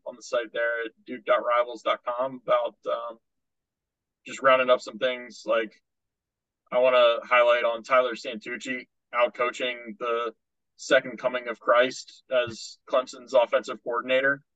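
A man who covers sports as a writer co-hosts a podcast, explaining things in a measured, level voice with little melody. He is recorded on a dull, dry mic with no room sound. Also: medium pitch, monotone, fairly bright tone, no echo. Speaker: sportswriter co-host. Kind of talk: casual sports podcast chat.

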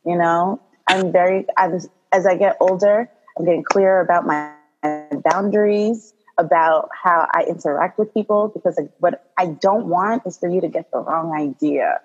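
A woman in her thirties from Congo talks as a guest on a casual podcast, calm and direct, and she laughs often. Her voice is slightly husky. The recording sounds muffled and lo-fi. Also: on the low side, normal speed, soft background music. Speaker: guest, doula.

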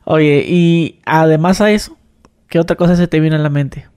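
A Mexican-accented man hosting a relaxed conversation, slightly high for a man, with a dark, mellow timbre. He is joking and colloquial. The recording is dry and close. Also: moderate pace, clean tone, no echo. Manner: joking, colloquial, acts out quotes. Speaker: interviewer. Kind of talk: casual interview conversation.

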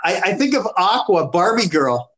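A man co-hosting a casual podcast chat, talking in halting restarts and laughing easily. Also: high voice, big pitch swings, a bit bright, slight background noise. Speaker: podcast co-host.

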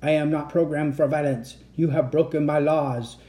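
A reader voicing a menacing male robot guardian with a flat, mechanical delivery, in a children's storybook. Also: mid-range voice, moderate pace, close to an everyday voice. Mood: cold menace.

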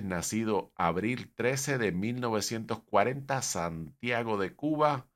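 A man's voice: sits low, a bit bright. A man hosting a show, talking unscripted about film and wrestling history, rambling with frequent restarts and an emphatic, opinionated delivery.

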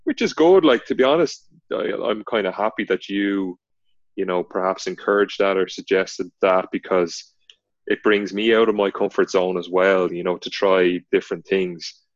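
A young man with a nasal voice, co-hosting a podcast in an understated, low-key way. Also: normal speed, dry recording. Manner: low-key, not very expressive. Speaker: podcast co-host. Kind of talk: casual chat. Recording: dull, lo-fi, dry sound.

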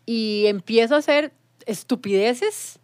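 A woman, a TV presenter talking reflectively in a casual panel chat and slowing down to stress her points. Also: on the high side, smooth, no echo, studio quality. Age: 40s. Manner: reflective, emphatic, slows down on key points.

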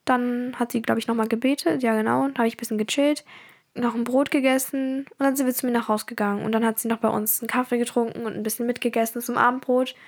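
A teenage girl from Germany talks through her week on her own podcast. She rambles in a loose, chatty way with plenty of fillers. Her voice sits a little high. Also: fairly even intonation, quick pace. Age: teens. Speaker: podcast host. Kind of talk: casual personal podcast chat.

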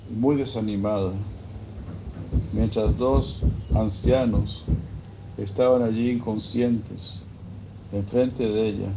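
A man giving a sermon, retelling a scripture story in a slow, measured way. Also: fairly low pitch, muffled recording, background noise. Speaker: religious speaker.

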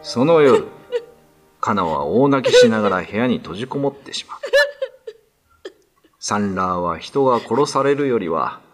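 A man narrating a scripted ghost story in low, grave tones. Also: big pitch swings, moderate pace, long pauses. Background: music.

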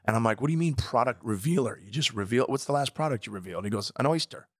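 A brash man who swears freely and teases while telling comedy-club stories. His voice sits at a middle pitch and is recorded dry and close. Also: lively intonation, quick pace, no echo. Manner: brash, profane, teasing storyteller. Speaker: podcast co-host and stand-up comic. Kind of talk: casual banter.

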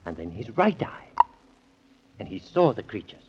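An actor playing a gentle, playful father telling a bedtime story in 1930s radio style, indulgent and dramatic. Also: on the low side, very animated, moderate pace, background noise. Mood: excited storytelling. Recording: dull old 1930s radio recording.

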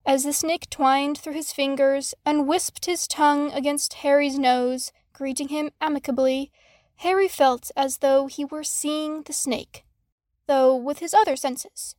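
A woman's voice: sits high, fairly even intonation, a little slow, a little mellow, no room sound, studio quality. A woman reading a story aloud as a scripted fan fiction narrator. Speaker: audiobook narrator.

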